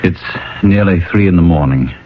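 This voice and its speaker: A man's voice: low-pitched, normal speed, lightly acted. An actor playing an earnest, concerned young man in a radio drama. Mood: subdued. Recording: muffled, noisy old radio broadcast.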